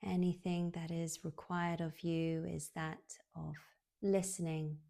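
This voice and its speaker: Woman doing yoga nidra, really slow n soothing, lowish voice, soft breathy endings. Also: flat delivery. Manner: soothing, unhurried, with long pauses. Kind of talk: guided relaxation meditation.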